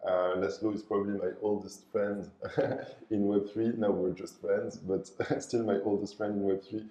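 A man hosting a podcast, asking a guest relaxed questions in an upbeat, friendly way. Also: fairly low pitch, normal speed. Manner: upbeat, scripted intro then relaxed questions. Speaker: podcast host. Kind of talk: podcast intro and interviewing. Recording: roomy echo with faint music.

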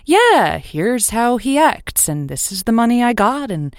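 A woman's voice: a little high, sing-song, measured pace, dry recording, studio quality. An actress playing an effusive, coaxing female radio host who gives chatty, encouraging romance advice in an audio drama. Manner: effusive, coaxing, pet names for listeners. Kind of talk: semi-improvised audio drama, late-night call-in radio host.

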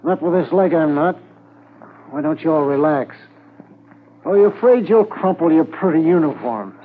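An actor in a 1950s radio drama playing a tired, sneering wounded bank robber under arrest. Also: male voice, fairly high pitch, expressive, moderate pace, lightly acted. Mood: taunting. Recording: lo-fi 1950s radio transcription, faint hiss.

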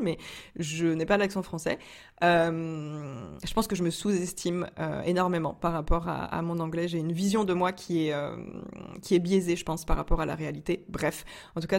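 A young woman from France telling a personal story in a chatty way, with many fillers and restarts. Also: fairly low pitch. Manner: chatty, frequent fillers and restarts, laughs often. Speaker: entrepreneur vlogger.

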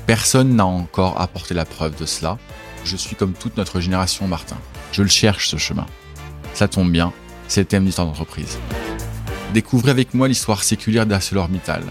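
Deep-voiced man hosting a podcast. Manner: measured, deliberate reading; relaxed and hesitant in conversation. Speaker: podcast host. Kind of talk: scripted intro then casual interview.

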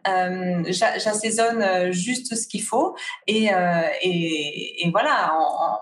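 A woman's voice: on the low side, takes it slowly, recorded from a distance, a little hiss or hum. A woman talking through a hesitant flow of fillers and restarts. She is set back from the mic. Her voice rings in a roomy, echoing space. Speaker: guest, fasting retreat organizer. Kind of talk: casual conversation.

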